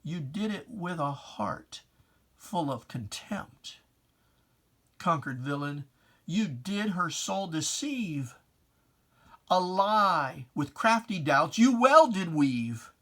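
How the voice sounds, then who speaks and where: A man's voice: a little high, very animated, takes it slowly, sharp tone. A man giving a dramatic reading of religious verse in an emphatic, declamatory, theatrical manner. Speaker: poetry reader.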